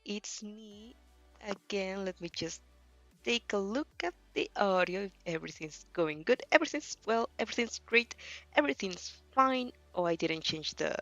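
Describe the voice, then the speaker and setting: A woman's voice: medium pitch, lively intonation, normal speed, a bit bright. Hesitant young woman, dull lo-fi mic. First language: not English. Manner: hesitant, giggly, self-correcting. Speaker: host reacting to fan fiction. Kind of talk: casual, rambling stream chat. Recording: dull lo-fi mic, faint music behind.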